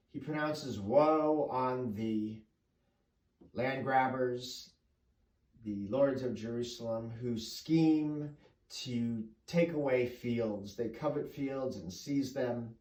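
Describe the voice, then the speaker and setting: Slow, deliberate male professor in echoey room. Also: medium pitch, darkish tone. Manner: deliberate, emphatic phrasing with pauses. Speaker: professor, Bible lecturer. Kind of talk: explanatory lecture. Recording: echoey room, distant microphone.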